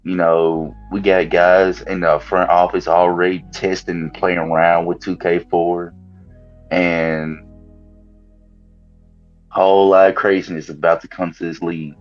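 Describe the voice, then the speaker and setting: A man's voice: low-pitched, level tone, unhurried, faint music behind. A man chatting casually as a panel guest over a dull voice chat.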